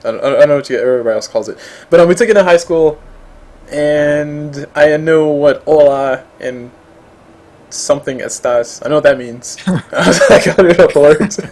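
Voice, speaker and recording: A man's voice: a little high, normal speed, a little mellow. A man hosting a casual esports interview, playful and rambling, drifting off on jokes and tangents. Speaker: interview host.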